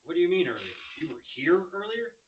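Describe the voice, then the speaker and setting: A man's voice: high-pitched, very animated, brisk. A man playing an easygoing, doubtful young boyfriend who questions and teases in a screenplay table read. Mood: skeptical. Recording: hissy, dull online-call sound.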